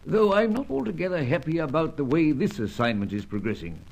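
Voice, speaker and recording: An avuncular older British spymaster in a radio serial. His plummy, clubby speech sits slightly high and swings widely in pitch, with a husky edge. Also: sing-song, a little fast, darkish tone, exaggerated voice. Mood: grave. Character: older British secret service chief, hearty and avuncular. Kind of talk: old-fashioned radio serial acting.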